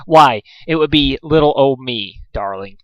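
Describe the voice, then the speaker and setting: A man's voice: medium pitch, lively intonation, a little slow, no room sound, close to an everyday voice. A man voicing a vain, ladylike female cartoon unicorn with gushing, theatrical refinement. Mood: dreamy, romantic. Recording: dull lo-fi home recording.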